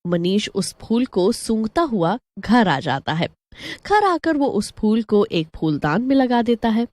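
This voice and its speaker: A woman's voice: fairly high pitch, big pitch swings, a little slow, a little mellow, dry recording. A woman narrating a scripted story as the storyteller.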